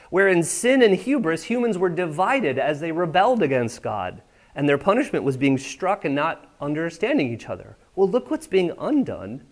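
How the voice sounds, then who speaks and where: A man preaching a sermon in a church, talking with the congregation in a conversational way and often checking that they are following. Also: on the high side. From United States. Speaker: pastor. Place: church sanctuary.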